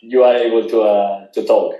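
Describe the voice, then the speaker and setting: A man with a Spanish accent hosts a casual chat in English as a non-native speaker, haltingly and often repeating his phrases. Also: on the low side, flat delivery, mellow. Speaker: podcast host interviewing an athlete. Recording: roomy, echoing sound.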